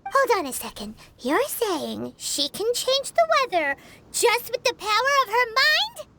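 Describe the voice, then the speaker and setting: Tiny excitable fairy girl, very high squeaky voice, lively sing-song swoops, game music behind. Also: a little slow, exaggerated voice. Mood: puzzled. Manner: chirpy, refers to herself in third person. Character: tiny floating female fairy companion, excitable. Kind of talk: video game voice acting.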